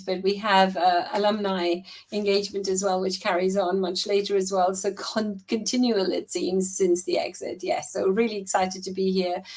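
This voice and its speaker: A woman who heads a careers team, speaking in an upbeat way with fillers on a video call. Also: mid-range voice. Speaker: careers head.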